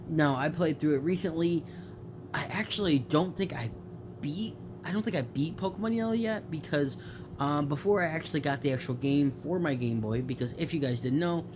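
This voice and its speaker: A young man with a slightly stuffed-up nose talks casually about games late at night, wandering off track and correcting himself as he goes. His voice sits at an ordinary middle pitch. A clear hiss of background noise runs under the home recording. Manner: rambling, lots of fillers and self-corrections. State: stuffed up from allergies. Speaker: gaming YouTuber.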